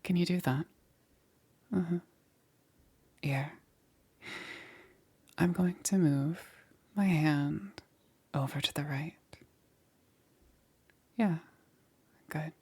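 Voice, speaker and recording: A woman playing a gentle witch caring for a stranger in a soothing ASMR roleplay, breathy and often dropping into a whisper. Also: sits low, full character voice. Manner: unhurried, soothing, checking in with short murmurs. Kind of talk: soft-spoken ASMR roleplay.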